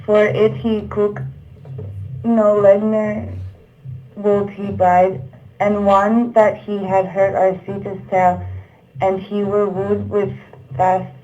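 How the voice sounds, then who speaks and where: A woman narrating a puppet show, reciting old verse in a measured, declamatory manner. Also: medium pitch, flat delivery, darkish tone, muffled recording.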